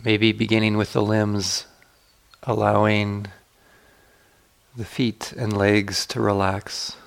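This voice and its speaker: Male meditation guide: lowish, creaky voice, unhurried and inviting, leaving space between instructions. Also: level tone. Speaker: meditation teacher leading a guided meditation. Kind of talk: guided meditation, slow spoken instruction with long pauses.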